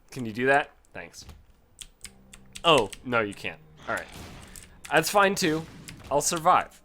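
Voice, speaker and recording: Male let's-play host, animated delivery, casual and profane, prone to loud outbursts. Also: fairly high pitch. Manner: swears freely, yells in bursts of frustration. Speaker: gaming commentator.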